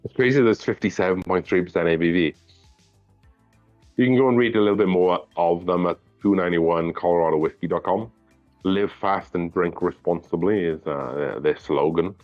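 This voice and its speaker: Welsh man, very low voice, mumbly casual chat, dry dull recording. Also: moderate pace, no room sound, soft background music. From Wales. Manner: relaxed, chatty, slightly mumbly. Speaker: guest whiskey taster.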